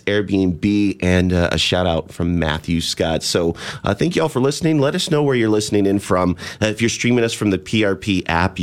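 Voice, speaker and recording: A man hosting a casual radio music show, talking in a relaxed way with many "uh" fillers. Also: low voice. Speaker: radio host.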